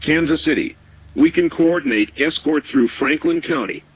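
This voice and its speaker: A man's voice: fairly high pitch, normal speed, slightly rough. A man playing a sober underground clinic operator in an audio drama, giving terse, formal, factual reports over a radio filter. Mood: grave, factual. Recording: dull radio signal with faint noise.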